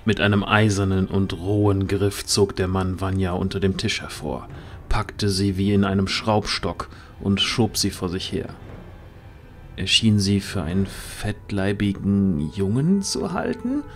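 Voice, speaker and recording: A man's voice: deep voice, unhurried, soft background music. A man reading dramatic scripted narration for a fantasy audio drama.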